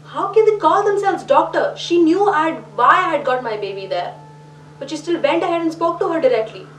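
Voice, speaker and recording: A roomy, slightly distant recording with faint noise. a troubled, well-to-do Indian mother in a film monologue. She speaks haltingly and emotionally, confiding her distress. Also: high-pitched, animated. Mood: anguished. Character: distraught upper-middle-class mother of a little girl. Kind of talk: dramatic monologue in a short film.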